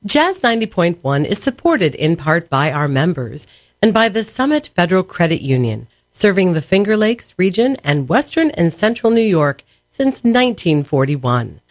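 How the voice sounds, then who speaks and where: A woman's voice: fairly low pitch, very animated, normal speed, dry recording, studio quality. A woman reading a scripted, polished sponsor message for a radio station. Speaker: underwriting announcer.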